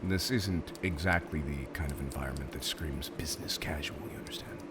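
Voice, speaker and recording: A man's voice: extremely low pitch, a little fast, loud music behind the voice. A man playing a theatrical, polite but exasperated supervillain interviewer in a roleplay audio drama, hesitant and mock-professional, prone to chuckling. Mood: flustered, dry.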